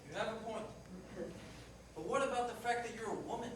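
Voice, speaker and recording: Guy acting as incredulous easygoing cabbie in a play reading, high voice, echoey and noisy. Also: a little fast. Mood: skeptical. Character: skeptical, easygoing male cab driver. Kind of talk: live staged play reading. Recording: noisy, very echoey hall, distant mic.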